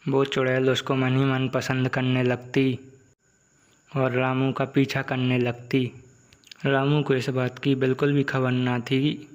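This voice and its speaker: A man's voice: medium pitch, completely flat delivery, slow pace, dull audio. A man reading a scripted folk tale as the narrator. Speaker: story narrator.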